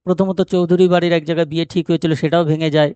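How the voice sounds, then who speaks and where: A man's voice: fairly high pitch, flat delivery, racing, warm tone, clean tone, no room sound, lo-fi sound. A man explaining a story as a teacher, posing questions and answering them himself. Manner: didactic, asks and answers own rhetorical questions. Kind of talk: explanatory lesson retelling a short story.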